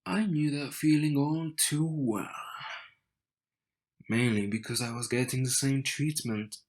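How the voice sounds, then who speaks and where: A man's voice: mid-range voice, natural voice. A man playing a cocky, wry and slightly bitter young man in an intimate audio roleplay, teasing and smug as he speaks to the listener. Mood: soft, reminiscing. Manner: slow, teasing, smug, with sighs and soft chuckles.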